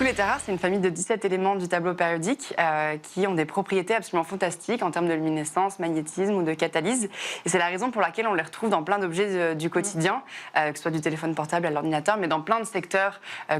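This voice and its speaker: Young woman from southern France, twenties, low-ish voice, rapid, hesitant with fillers; interview subject. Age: 20s. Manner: fast, frequent "euh" fillers and restarts. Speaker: chemist and startup founder. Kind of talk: interview answers.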